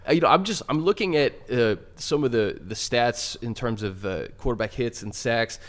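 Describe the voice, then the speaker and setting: A man's voice: mid-range voice, animated, moderate pace. A man hosting a sports talk show, steering the chat and setting up questions for his co-hosts. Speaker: show host.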